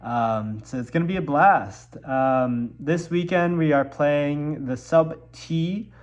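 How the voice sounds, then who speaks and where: A man's voice: fairly high pitch, slow pace, muffled recording. A young man from Milwaukee, congested from a cold, rambling casually in a vlog with lots of ums and likes. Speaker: musician vlogger.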